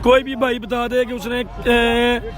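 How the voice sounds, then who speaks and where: A man's voice: very high-pitched, level tone, slow. A man doing live cricket commentary outdoors, who keeps thanking viewers by name and asking them to share the stream.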